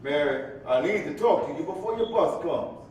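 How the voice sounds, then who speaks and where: An earnest, restless young bachelor, acted by a man in a live stage reading. His pitch swings widely as he pleads theatrically, heard from a distance in a very echoey hall. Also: lively intonation, moderate pace, a little mellow, natural voice. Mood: impatient, earnest. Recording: echoey hall from far off, faint rumble.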